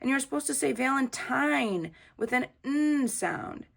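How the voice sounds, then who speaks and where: A woman's voice: high voice, expressive, measured pace, creaky, voice pushed up, full character voice. Woman as bossy, precise little schoolgirl. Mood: annoyed. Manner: emphatic, correcting.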